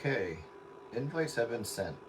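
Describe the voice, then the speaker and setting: A man's voice: medium pitch, sing-song, normal speed, heavy background noise. A man hosting an informal online get-together, chatting casually and explaining things in an informal way. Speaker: host of an online radio round-up night. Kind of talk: casual chat.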